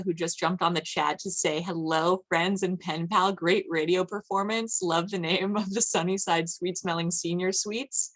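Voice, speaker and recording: A woman's voice: on the low side, fairly bright tone. A woman hosting an online event, giving informal closing remarks to the audience. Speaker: event host and moderator.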